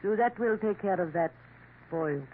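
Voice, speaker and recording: A woman's voice: mid-range voice, expressive, normal speed, close to an everyday voice. An actress in a 1950s radio drama playing a scheming, worldly Parisian café woman who coaxes and drops French endearments. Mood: sly. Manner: coaxing, knowing, sprinkles in French endearments. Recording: tinny old radio broadcast with faint hiss.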